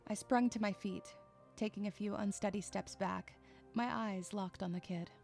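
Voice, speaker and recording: Woman doing a moody withdrawn girl telling her story, kinda creaky, emotive, soft music under it. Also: mid-range voice, steady tone, moderate pace, natural voice. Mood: wary, irritated. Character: troubled, withdrawn, irritable young loner girl narrating inwardly. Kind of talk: dramatic first-person story narration.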